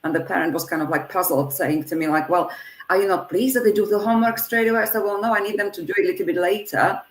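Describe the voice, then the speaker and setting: A woman's voice: medium pitch, fast. A woman language teacher speaking earnestly in a panel discussion, often restarting her sentences. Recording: echoey room.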